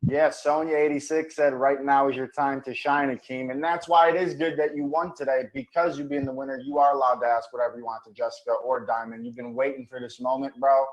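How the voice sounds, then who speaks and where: A man's voice: mid-range voice, little pitch movement, fairly quick, hardly any pauses, highs cut off. A man hosting a live online dating show over video call, with hyped, bro-ish banter.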